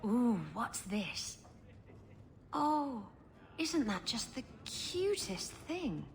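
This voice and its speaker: A woman voicing a flirtatious female pirate captain who speaks playfully and slowly. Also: fairly high pitch, big pitch swings, full character voice. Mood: flirty. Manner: playful, teasing, drawn-out. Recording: game cutscene with music behind.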